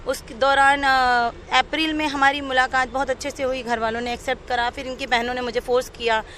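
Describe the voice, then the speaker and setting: A woman's voice: sits high, level tone, a little fast, a bit bright, a little hiss or hum. A woman giving an unscripted, earnest and detailed personal account as an interviewee. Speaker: interviewee telling her own account.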